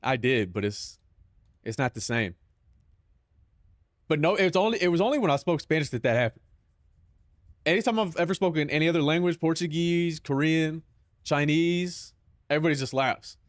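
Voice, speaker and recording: A man's voice: a little high, lively intonation, moderate pace, long pauses, no room sound, studio quality. A young man with a Southern Californian accent, chatting casually on a stream in loose, profane banter full of "bro".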